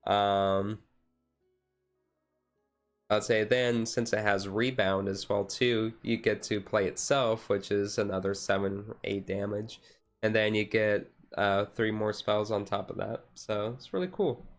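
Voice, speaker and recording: A man streamer chatting casually in a relaxed, halting, rambling way, thinking aloud as he goes. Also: fairly low pitch. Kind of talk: relaxed casual chat about a card game, ASMR-style stream. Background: music playing softly behind the voice.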